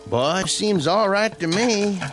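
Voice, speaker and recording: A man's voice: high-pitched, takes it slowly, over music. An actor playing a laconic, easygoing farmer father with a rural Southern American accent in a radio drama. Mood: distracted, placid.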